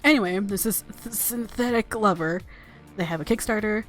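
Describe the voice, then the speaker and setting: A woman's voice: medium pitch, moderate pace. A woman hosting a casual let's play, rambling and self-deprecating, sometimes reading game text aloud. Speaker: gaming video host. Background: soft game music.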